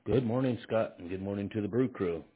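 A man's voice: on the low side, fairly quick. A man checking into an amateur radio net in a wry, rambling way. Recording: husky voice on a narrow radio band.

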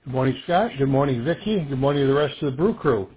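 Male radio caller, husky, narrow radio-band sound, cheerful and self-deprecating. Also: medium pitch, animated, quick pace.

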